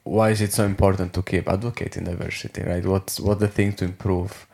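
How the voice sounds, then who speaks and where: A man's voice: low voice, little pitch movement, brisk, gravelly, darkish tone. A man interviewing a guest, searching for words and rephrasing his questions as he goes.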